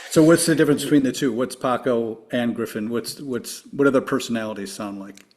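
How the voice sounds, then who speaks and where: A man's voice: medium pitch, a little fast, a little mellow. A man hosting a podcast, reading his numbered questions and offering brief affirmations. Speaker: podcast host. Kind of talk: interview questions.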